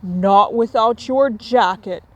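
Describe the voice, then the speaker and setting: A stern yet caring raccoon dad from a storybook, played by a woman. He is measured and firm, drawing out his words as he cautions. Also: a little high.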